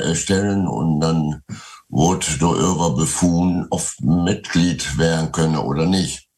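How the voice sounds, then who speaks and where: A man in his eighties with a Westphalian Low German accent, speaking hesitantly in an interview, with frequent pauses. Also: darkish tone. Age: 80s. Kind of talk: casual interview.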